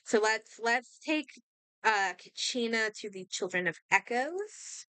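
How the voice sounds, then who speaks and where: A woman's voice: fairly high pitch, expressive, takes it slowly, pushed and bright, clean tone, no room sound, poor audio. A young woman with a sore throat, chatting casually and thinking aloud while playing a game. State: sore throat, losing her voice; hiccups. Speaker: gaming streamer.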